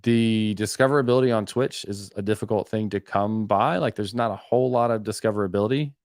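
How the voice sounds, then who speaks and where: A man's voice: fairly low pitch, fairly quick, darkish tone, no echo, studio quality. A man hosting a live web development Q&A stream, answering chat questions in a casual, conversational way with frequent fillers and restarts. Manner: conversational, many fillers and self-corrections.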